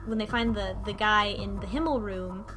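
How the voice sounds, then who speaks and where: A woman talking casually in a group discussion, recapping a game in a halting way and often restarting mid-thought. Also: mid-range voice, fairly even intonation, music in the background. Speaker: podcast host recapping a visual novel.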